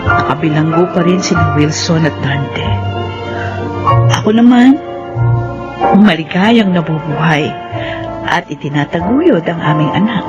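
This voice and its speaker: A woman's voice: lowish voice, dull audio. An actress playing an anguished, betrayed young wife and mother in an emotional, melodramatic radio drama. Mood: wistful, resolved. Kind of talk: radio drama acting and first-person narration. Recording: studio radio drama with loud music. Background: loud dramatic music.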